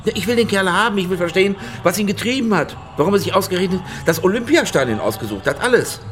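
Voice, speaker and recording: A man's voice: high-pitched, expressive, fast talker, a bit bright, faint music behind. An actor playing an imperious, slippery businessman client in a radio crime drama, brusque and insistent, with a joking streak. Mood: insistent, pressing.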